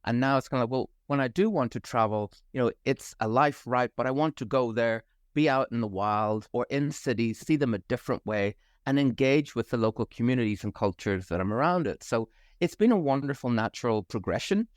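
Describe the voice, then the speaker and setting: A middle-aged man with a Northern Irish accent worn down by years abroad, chatting enthusiastically as an interview guest. His pitch sits mid-range for a man. The sound is dry and close, with no room in it. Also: fairly quick, few pauses, dry recording. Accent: Northern Irish, softened by years abroad. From Northern Ireland. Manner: enthusiastic, fluent with some fillers. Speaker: travel company managing director, guest. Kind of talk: conversational podcast interview.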